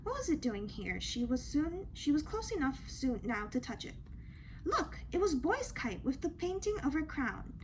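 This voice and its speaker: A woman reading a children's story aloud in an expressive, dramatic way, with emphatic questions and asides. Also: high voice, moderate pace, a little hiss or hum, poor audio. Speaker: storybook reader.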